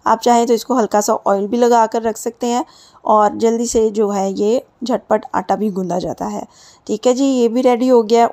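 A woman's voice: fairly high pitch, dark tone. A woman giving a casual recipe voice-over as a home cooking host, chatty and instructional.

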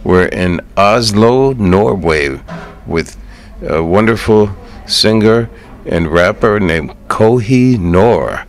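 Very deep-voiced American male radio host. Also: expressive, slow pace. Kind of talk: conversational radio interview.

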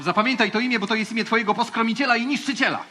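A man's voice: extremely high pitch, very fast, sharp tone. A man playing an eager, naive and timid junior space officer in a children's audio play, hesitant and blustering. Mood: flustered bluster. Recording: music under the voice.

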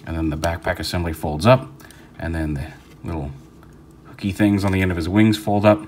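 Low-voiced guy rambling thru a toy review at his table, faint hum behind. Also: fairly quick. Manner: relaxed, rambling, many fillers and restarts. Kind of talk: casual hands-on toy review. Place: indoor tabletop setup.

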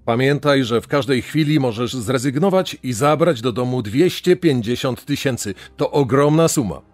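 Medium-pitched quiz show presenter, acted by a man. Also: no room sound. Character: male TV quiz show presenter, incredulous showman. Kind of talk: dramatized reenactment.

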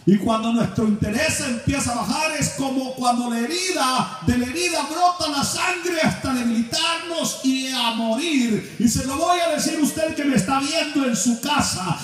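A middle-aged man preaching a sermon, shifting between shouted passages and hushed, low stretches. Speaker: pastor. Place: large church hall. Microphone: handheld mic, filmed from far off. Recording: echoey hall from far off.

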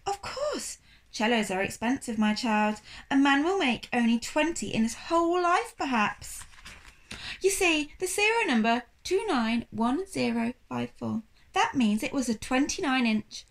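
A woman voicing a polite, paunchy French shopkeeper in a children's storybook, with a French accent and measured, courteous phrasing. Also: high voice, lively intonation. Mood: musing, apologetic.

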